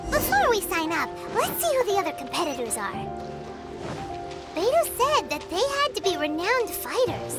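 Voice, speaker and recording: A woman voicing a tiny childlike game companion, squeaky, pouty and outspoken. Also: big pitch swings, moderate pace. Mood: curious. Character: tiny childlike floating female companion, chirpy and blunt. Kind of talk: video game voice acting. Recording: loud music behind.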